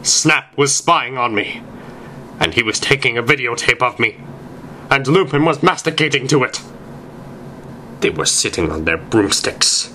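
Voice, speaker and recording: A man's voice: medium pitch, expressive, measured pace, pauses often, bright, forceful tone, a little hiss or hum. A man narrating fan fiction aloud in a theatrical reading style.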